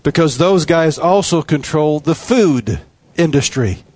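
A man preaching a sermon, his voice sitting a little higher than most men's. He hammers on repeated phrases with an emphatic cadence. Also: lively intonation, unhurried, darkish tone.

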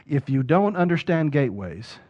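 Preacher guy, kinda high voice, gets loud n punchy, muffled lo-fi audio. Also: big pitch swings, normal speed. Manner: rises to loud emphatic preaching peaks, acts out quoted voices. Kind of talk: sermon. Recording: dull lo-fi sound.

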